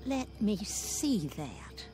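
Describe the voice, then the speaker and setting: An actress playing a wistful old woman in a radio drama, cautious and longing, with a deliberate, emotive delivery. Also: mid-range voice, very animated, over music.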